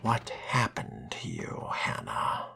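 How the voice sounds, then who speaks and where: A man's voice: a bass voice, wildly swooping pitch, slow pace, creaky, sharp tone, in a whisper, exaggerated voice. A man voicing a haughty, sardonic vampire fledgling with pompous, formal phrasing in a role-played video game. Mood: sardonic, musing. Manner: pompous, dryly mocking, formal wording. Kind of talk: in-character gameplay monologue.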